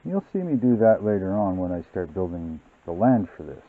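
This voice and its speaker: A very deep-voiced man talks through a hobby build in an easy, meandering tutorial manner, often pausing on fillers. His voice comes through thin and tinny, like a phone line. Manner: relaxed, rambling, many fillers. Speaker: model-building channel host. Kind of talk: casual hobby tutorial narration.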